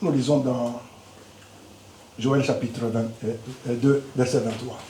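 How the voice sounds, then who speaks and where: A man in his fifties preaching a Pentecostal sermon, whose first language is Lingala, moving between quiet asides and loud exhortation. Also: mid-range voice, steady tone, a little slow. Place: church hall. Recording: echoey church hall with faint noise.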